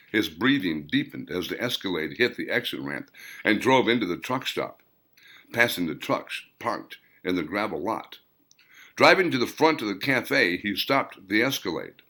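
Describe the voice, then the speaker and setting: Lively-voiced male novel narrator. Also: lowish voice, vocal fry. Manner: steady, descriptive storytelling. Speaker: author reading his novel. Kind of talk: audiobook narration.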